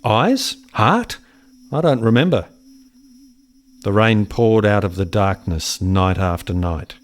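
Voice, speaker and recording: Slow, brooding settler man. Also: lowish voice, lively intonation. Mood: brooding, haunted. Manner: deliberate, brooding, poetic. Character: haunted settler son recalling his lost brother. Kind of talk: dramatic radio play narration.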